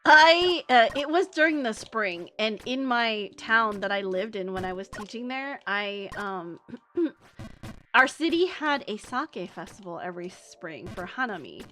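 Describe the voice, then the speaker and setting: A woman's voice: mid-range voice, lively intonation, a little slow, bright, forceful tone, a little hiss or hum. A young American woman streamer telling a story in a casual, rambling way, with many fillers and restarts. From United States. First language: English.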